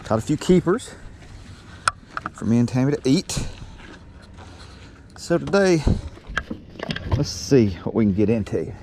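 A man's voice: medium pitch, unhurried, pauses often. A middle-aged man chatting casually and folksily as he fishes from a small boat, talking into an action camera. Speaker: fishing vlogger. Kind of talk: casual chat while fishing. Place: outdoors on a small boat on calm water. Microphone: body-worn action camera. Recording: steady noise from outdoor action camera.

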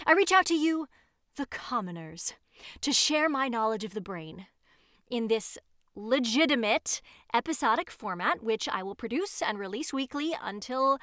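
Female, disgraced scatterbrained scientist, halting self-justifying rambler, slightly high pitch, dry close sound, audio drama. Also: expressive, a bit bright, no room sound. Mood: aggrieved. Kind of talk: audio drama monologue as if recording a homemade show.

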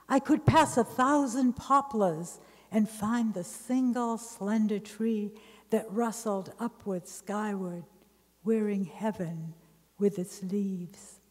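A middle-aged woman reading poetry from a page at a podium, slow and measured. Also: mid-range voice, a little mellow. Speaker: reader at a literary memorial. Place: large ornate library hall. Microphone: podium mic on a stand. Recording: long hall reverb.

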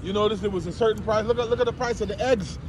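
High-pitched man preaching over clear outdoor noise. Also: very fast. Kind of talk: casual religious talk while out walking. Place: outdoors, walking. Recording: noisy outdoor recording, traffic or wind noise.

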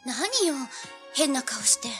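An actress playing a plucky, emotional young girl in an audio drama, girlish, earnest and expressive. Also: high-pitched, slow pace, over music. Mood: soft, relieved. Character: plucky, emotional young girl, the hero's treasure-hunting partner. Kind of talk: 1980s audio drama acting.

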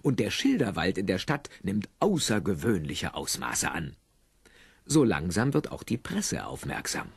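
A man with a husky voice narrating an audio drama in an animated, wry storytelling style. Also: sits low, measured pace, dry recording, exaggerated voice. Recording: dry cassette studio narration over music. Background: music.

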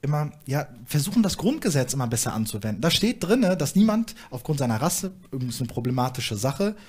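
A man's voice: on the high side, sing-song, fairly quick, breathy edge. A young man on a studio panel, explaining his views earnestly with frequent fillers. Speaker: panel guest, activist. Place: studio stage with small audience.

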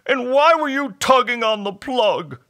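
High-pitched, tearful bog hobgoblin. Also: male voice, animated, a little slow, pushed and bright, full character voice. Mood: sniffling. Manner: sobbing, wailing, slobbery howl. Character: tearful, pleading, indignant male bog hobgoblin.

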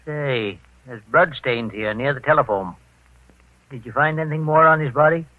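An actor playing a folksy, homespun detective's sidekick in 1940s radio style, on an old recording. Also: male voice, medium pitch, normal speed, no room sound, phone-quality sound, natural voice. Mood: easygoing, folksy. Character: folksy, plain-spoken male sidekick to a detective. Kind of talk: 1940s radio character acting.

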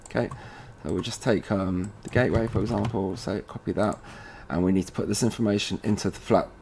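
A man presenting an informal software tutorial, casually thinking aloud as he goes step by step. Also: fairly low pitch, fairly even intonation, a little fast, creaky. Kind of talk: informal screen-recorded software walkthrough. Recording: noisy room with keyboard typing.

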